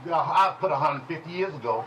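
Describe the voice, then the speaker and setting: A man teaching a congregation in a preaching style, folksy and call-and-response, with speech, dropping at times to low murmurs. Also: lively intonation, fast talker, fairly bright tone, muffled recording, a little hiss or hum. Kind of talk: preaching Bible lesson. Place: congregation hall.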